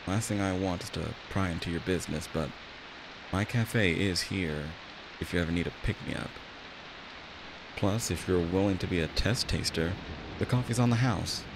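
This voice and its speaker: A man acting out an ASMR roleplay as a friendly, chatty barista, talking in a gently reassuring way. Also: deep voice, normal speed, creaky. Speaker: voice actor in an audio roleplay. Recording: steady rain and hiss behind voice. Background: rain.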